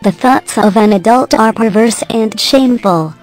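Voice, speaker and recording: A woman's voice: a little high, moderate pace, music in the background, natural voice, poor audio. An actress playing a wry, incredulous woman revived from the dead in a parody radio drama.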